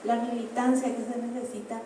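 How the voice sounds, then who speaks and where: A woman's voice: on the high side, little pitch movement, fairly quick, warm tone, slight background noise. A woman, speaking out emphatically on a panel at a book presentation, with pointed rhetorical questions. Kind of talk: panel discussion remarks. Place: large hall, book presentation. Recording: echoey hall from far off.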